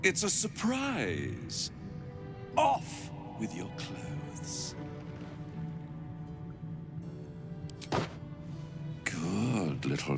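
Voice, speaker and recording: An actor playing a seductive, cruel and playful incubus in a video game, with theatrical, teasing delivery. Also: male voice, extremely low pitch, creaky, heavily acted. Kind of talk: video game character acting.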